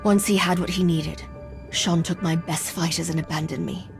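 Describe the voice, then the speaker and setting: A proud, betrayed noblewoman from a space game. Her voice is fairly low and her delivery grave and formal, with loud music underneath. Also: steady tone, normal speed, creaky. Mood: bitter. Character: proud, betrayed female alien noble leader. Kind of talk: cinematic video game dialogue.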